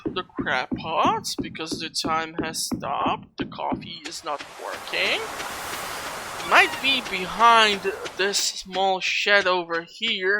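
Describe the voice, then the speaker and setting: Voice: mid-range voice, noisy background. A person giving an informal video game walkthrough, thinking aloud while playing. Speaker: gaming YouTuber, walkthrough host. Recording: loud game music behind. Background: video game music.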